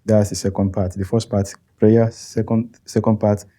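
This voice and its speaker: A Nigerian man whose first language is Yoruba, speaking as an interview guest in a thoughtful, halting way with frequent soft laughs. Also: on the low side, level tone. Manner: thoughtful, halting, chuckles often. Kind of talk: interview conversation.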